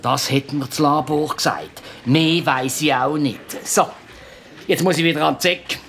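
Offhand Swiss policeman, higher-pitched. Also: a little slow, gravelly, fairly bright tone. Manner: offhand, matter-of-fact. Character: reluctant, offhand male police officer. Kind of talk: children's detective radio play. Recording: faint background noise and ambience.

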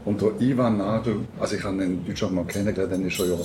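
Low-voiced Swiss man amid clattering dishes. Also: fairly even intonation, very fast, background noise. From Switzerland. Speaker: interviewer and conversation partner. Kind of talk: casual conversational reminiscing. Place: at a table with food being served. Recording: clear clatter of dishes and cutlery.

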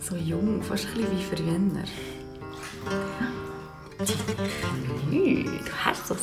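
A woman's voice: medium pitch, expressive, takes it slowly, vocal fry, loud music behind the voice. An actress playing an easygoing, flirty, sensible middle-aged woman with a Swiss accent in a radio drama. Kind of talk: naturalistic radio drama acting.

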